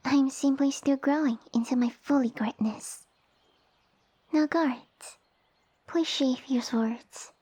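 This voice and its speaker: A woman voicing a small, haughty young snake-girl noble in an ASMR roleplay, speaking softly with pompous, formal condescension. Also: high voice, slow pace, pauses often, husky, no echo, heavily acted. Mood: stern, wounded pride. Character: small haughty young lamia noblewoman, sassy and easily offended. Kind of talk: ASMR audio roleplay acting. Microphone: close dry microphone.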